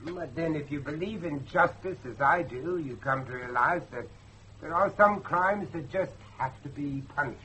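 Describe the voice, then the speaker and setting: A middle-aged man acting a determined father and judge in old-fashioned radio drama style, with a dramatic, deliberate delivery. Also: a little high, sharp tone, a little hiss or hum, low-quality recording. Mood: grave, reflective. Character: grave, determined father, a judge seeking his missing son.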